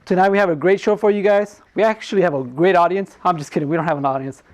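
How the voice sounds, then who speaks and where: A man's voice: high voice, steady tone, fast talker. A man hosting a comedic talk show in a casual, joking way, often repeating himself.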